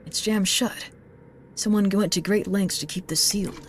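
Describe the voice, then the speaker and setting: An actor playing a lost man trapped in a strange place, who mutters to himself in puzzlement with a gravelly voice. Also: sits high, fairly even intonation, moderate pace, slight background noise, natural voice. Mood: puzzled. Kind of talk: game dialogue.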